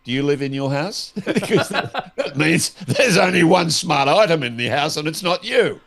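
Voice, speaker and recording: A man's voice: fairly high pitch, expressive, normal speed, a bit bright. An Australian man hosting a casual question-and-answer chat, with a wry, teasing way of talking. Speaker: host putting listener questions. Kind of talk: casual radio-style chat.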